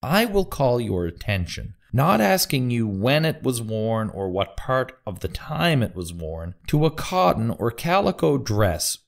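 An actor playing a methodical nineteenth-century prosecuting lawyer, questioning formally and precisely in a courtroom audio drama. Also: male voice, mid-range voice, sing-song, measured pace, vocal fry, hardly any pauses. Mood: firm, formal.

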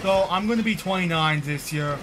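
A man's voice: fairly high pitch, a little fast. A man in his twenties chatting to his viewers while playing a game, rambling and repeating himself, with short bursts of frustration. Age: 20s. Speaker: streamer. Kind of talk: casual game commentary to chat. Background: game music.

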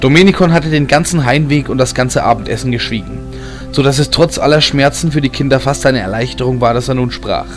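A male narrator reads a fantasy audiobook in a mid-pitched voice. The delivery is scripted storytelling, with film music playing behind it. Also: fairly quick. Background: background film music.

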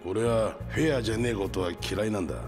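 A low, rough-talking older information broker from the underworld, played by a man, speaks with sly, expansive assurance. It is video game cutscene acting, with clear music behind the voice. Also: quick pace, natural voice. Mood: amused, commanding.

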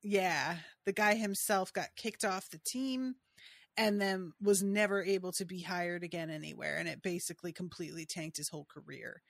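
A woman's voice: fairly low pitch, fairly even intonation, normal speed, gravelly, no echo. Dry-sounding woman co-hosting a chatty podcast. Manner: conversational, trails off into asides. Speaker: podcast co-host. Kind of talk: casual recap chat about a TV episode.

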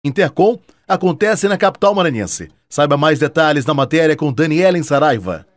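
A man's voice: on the high side, lively intonation, moderate pace, dry recording, studio quality. A man with a Brazilian accent presenting radio news in a scripted announcing style.

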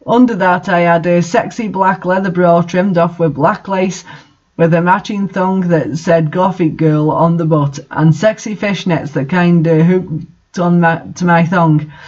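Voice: mid-range voice, steady tone, a little slow, darkish tone, muffled recording. A host reading fan fiction aloud and adding casual, incredulous commentary.

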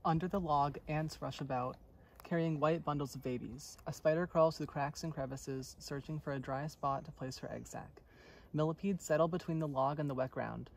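A man's voice: fairly high pitch, fairly even intonation, moderate pace, creaky. A young man reads a children's nature storybook aloud outdoors, recorded from a short distance away. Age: young adult. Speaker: intern reading a storybook aloud. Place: outdoors on a forest trail. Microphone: camera or phone a short distance away. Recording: distant mic, faint outdoor noise.

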